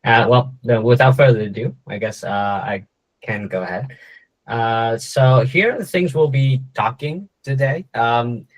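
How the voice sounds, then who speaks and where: A man's voice: mid-range voice, unhurried, poor audio. A young man from Vietnam in his twenties, giving a casual, joking presentation to students with many fillers and restarts. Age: 20s. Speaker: guest speaker, startup co-founder.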